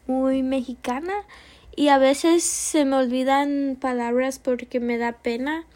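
A woman's voice: high-pitched, warm tone. A young woman student with an American English accent hosting her own class podcast, speaking carefully and correcting herself now and then. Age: young adult. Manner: slow, careful delivery with small self-corrections.

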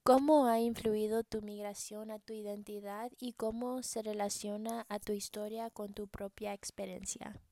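Young woman interviewer, mellow tone, dry close mic, earnest. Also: medium pitch, fairly even intonation, normal speed, hardly any pauses, no room sound. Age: young adult. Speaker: interviewer, daughter, student. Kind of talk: reading prepared interview questions.

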